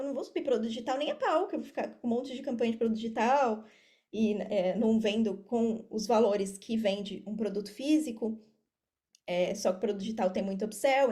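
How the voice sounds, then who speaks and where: High-voiced Brazilian woman explaining casually. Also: dark tone. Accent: Brazilian Portuguese. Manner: explanatory, repeats phrases for emphasis. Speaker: podcast guest, affiliate marketer. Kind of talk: casual interview talk.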